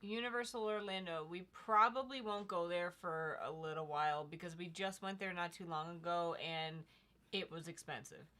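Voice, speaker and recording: A young woman co-hosting a livestream in a relaxed, chatty way, often reading the viewer comments aloud. Her voice sits a little low but sounds bright and clear. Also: moderate pace. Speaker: livestream co-host reading chat. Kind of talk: casual livestream chat.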